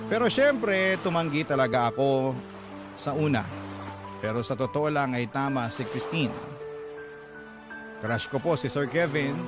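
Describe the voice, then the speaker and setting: Man narrating sentimental story over loud music. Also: medium pitch. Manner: reads a letter writer's confession in dramatic phrases. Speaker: radio drama host and narrator. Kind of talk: scripted first-person story narration, sentimental. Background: prominent music.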